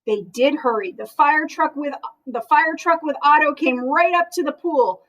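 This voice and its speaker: A woman reading a children's picture book aloud in an expressive, animated way, as if to young listeners. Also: high-pitched, normal speed.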